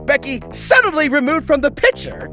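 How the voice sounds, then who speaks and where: A wry narrator delivers a scripted comedy recap with mock drama. The voice is bright and hugely varied in pitch, with faint music underneath. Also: sing-song, normal speed. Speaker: narrator and host.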